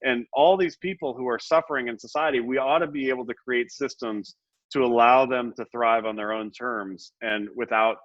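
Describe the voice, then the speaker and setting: A man's voice: medium pitch, steady tone, moderate pace, fairly bright tone, no room sound. A middle-aged man, a Senate candidate, speaking earnestly and with passion as a guest, often restarting his words. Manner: impassioned, repeats and restarts words. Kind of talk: earnest interview talk. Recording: muffled dry audio.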